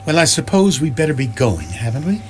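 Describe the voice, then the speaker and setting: A woman's voice: sits low, sing-song, a little fast. A woman playing an anxious, dryly sarcastic reluctant space traveller in comic radio theatre. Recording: noisy live stage recording.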